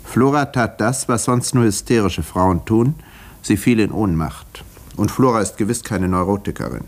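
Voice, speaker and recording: Man acting a low-voiced, wry village doctor. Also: normal speed, close to an everyday voice. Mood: wry, measured. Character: male village doctor recounting a murder case, wry, measured. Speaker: narrator of a radio play. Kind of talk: scripted first-person narration, 1950s radio acting.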